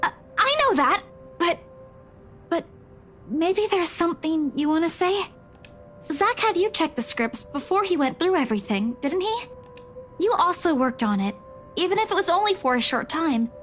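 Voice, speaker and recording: A woman's voice: very high-pitched, lively intonation, normal speed, long pauses, a bit bright, slight background noise. An actress in a visual novel playing an anxious, caring, chatty young woman who stammers and pleads. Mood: hesitant, coaxing. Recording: music behind the voice.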